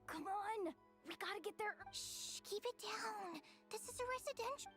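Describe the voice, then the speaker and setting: A woman's voice: very high voice, expressive, moderate pace, fairly bright tone, soft background music. A woman voicing a cheery, chatty young girl in a video game. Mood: urgent. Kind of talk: animated video game voice acting.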